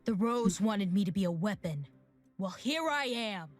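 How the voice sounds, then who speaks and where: A woman's voice: mid-range voice, expressive, a little slow, soft background music, lightly acted. A woman voicing a bitter, defiant teenage girl warrior in a video game, blunt and sardonic. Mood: menacing.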